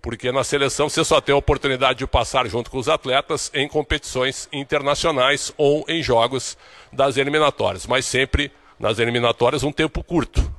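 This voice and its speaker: A man from Brazil giving a recorded sports report in a steady, informative manner. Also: mid-range voice.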